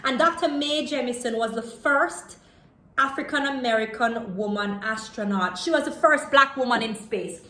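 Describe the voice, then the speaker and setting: A woman reading a children's book aloud in an expressive way, stopping to put questions to young listeners. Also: fairly high pitch, moderate pace. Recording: roomy, echoey home-style video recording.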